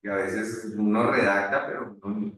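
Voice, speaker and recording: A man teaching at a university, talking in a halting way with many restarts during an online class discussion. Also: on the low side, steady tone, a bit bright. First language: Spanish. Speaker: university lecturer. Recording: echoey room far from mic.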